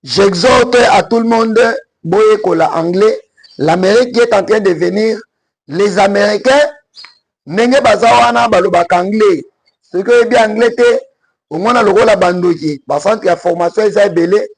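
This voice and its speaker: A man's voice: high-pitched, moderate pace, husky, low-quality recording. A Congolese man with a Congolese French accent, giving emphatic political commentary in French and Lingala. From Congo (DRC).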